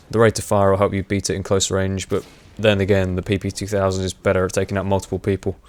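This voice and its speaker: A young man with a fairly low voice talks over his gameplay in a loose, rambling way. He pauses on fillers and laughs often, and his voice is wearing hoarse. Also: fairly even intonation, a little mellow. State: voice going hoarse. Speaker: gaming commentator. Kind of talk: casual gameplay commentary.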